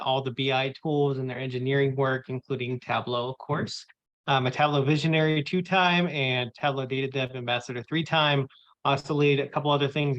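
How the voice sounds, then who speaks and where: A man's voice: medium pitch, a bit bright. A man, co-hosting a user group session on a video call, chatty and teasing with many fillers. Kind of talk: casual video call presentation. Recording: muffled lo-fi call audio.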